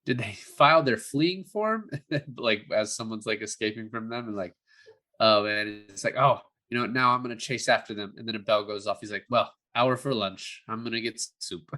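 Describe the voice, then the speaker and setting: A man's voice: fairly low pitch, moderate pace, pushed and bright. A man co-hosting a movie review podcast, chatting casually about films. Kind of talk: casual film discussion.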